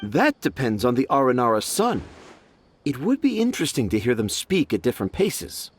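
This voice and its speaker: Father figure acted by a man, gentle, thoughtful, middle pitch, very varied intonation, game dialogue, soft music. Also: very animated, moderate pace, natural voice. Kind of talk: video game voice acting.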